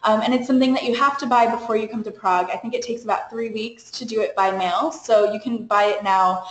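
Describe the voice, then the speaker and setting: A woman's voice: on the high side, fairly even intonation, fast. Woman, young adult, program staff, informal webinar, chatty with fillers, roomy echo. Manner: chatty, frequent fillers and small laughs. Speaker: study abroad program staff.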